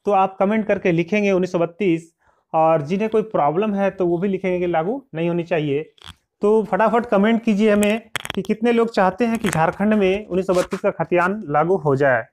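A man's voice: high voice, brisk, a little mellow. A man presenting an informal news update as a channel host, explaining things directly to his viewers and at times reading aloud.

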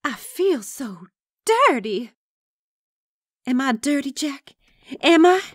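A woman voicing a bold, lusty, sharp-tongued young frontier woman in a comic book dub, with theatrical emphasis. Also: sits high, big pitch swings, takes it slowly, exaggerated voice. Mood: lustful.

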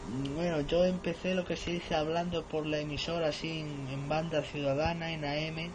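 A man's voice: on the high side, flat delivery, normal speed. A teenage male, a novice radio operator, chatting casually on a radio contact. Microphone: camera filming the radio set in room. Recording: radio static and noise behind voice.

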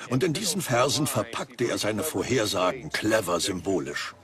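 An actor as animated male prophecy expert. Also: lowish voice, moderate pace, a crowd in the background. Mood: earnest, explaining. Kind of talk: documentary dub, explaining.

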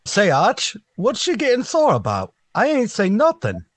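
An actor playing a dim-witted, guileless tavern regular in 1940s radio comedy style. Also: male voice, high voice, wildly swooping pitch, no echo, exaggerated voice. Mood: puzzled, guileless. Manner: slow-witted, blunt, guileless.

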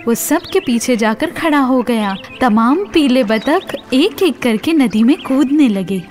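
A woman reading a children's story as an animated scripted narration. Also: high voice, soft background music.

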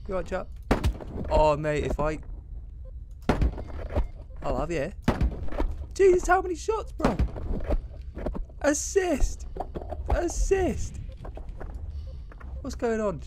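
A young British man giving casual, excitable commentary on a shooter game, his voice a little croaky and jumping between mutters and loud exclamations. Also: sits high, takes it slowly, long pauses, background noise. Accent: British English. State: slightly croaky after a gig. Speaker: gaming YouTuber.

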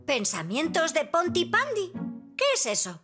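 A woman's voice: extremely high pitch, wildly swooping pitch, a little slow, exaggerated voice. A woman voicing a tidy, polite, earnest young boy in a cartoon dub. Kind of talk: animated cartoon dubbing. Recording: loud music behind the voice.

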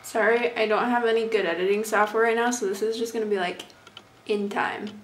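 A woman's voice: medium pitch, steady tone, a little fast, a little hiss or hum. A young woman chatting casually through a makeup tutorial, rambling, distracted and self-deprecating. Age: young adult. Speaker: vlogger. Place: small bathroom indoors. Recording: echoey small room, music behind.

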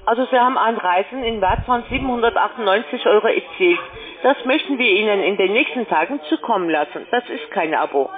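A woman working as a call-centre telemarketer, delivering a scripted sales pitch over the phone. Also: expressive, brisk, a crowd in the background. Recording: muffled, band-limited phone line.

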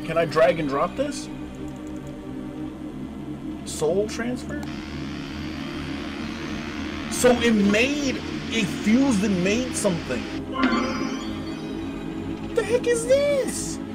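Male gaming host, slangy and exclamatory, pitch leaping around, unhurried pace, music underneath. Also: wildly swooping pitch. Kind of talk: excitable let's-play commentary, reading game text aloud.

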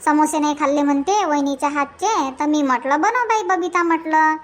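A woman's voice: high voice, brisk, lightly acted. A woman voicing a sociable village woman in a comedy cartoon, chatting in a Varhadi Marathi accent. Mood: chatty. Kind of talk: cartoon comedy dialogue in rural dialect.

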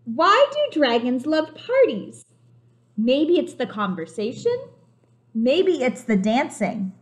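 A woman in her twenties, a preschool teacher reading a picture book aloud to small children in an animated, simply explaining way. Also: sits high, slow pace. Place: home kitchen, indoors.

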